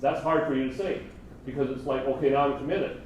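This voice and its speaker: A man's voice: medium pitch, steady tone, a little fast, darkish tone, highs cut off. A man giving an informal seminar as a trainer, conversational and putting rhetorical questions to his audience. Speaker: seminar trainer teaching negotiation and NLP. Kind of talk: live seminar presentation, informal coaching. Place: live seminar room with an audience. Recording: roomy, distant mic, faint noise.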